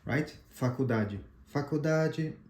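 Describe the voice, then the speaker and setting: A man whose first language is Portuguese gives a casual tutorial demo, pausing often with "uh" and restarting his sentences. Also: medium pitch, echoey room, noisy background. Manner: halting, frequent "uh" and restarts, checks in with "right?". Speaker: amateur radio instructor.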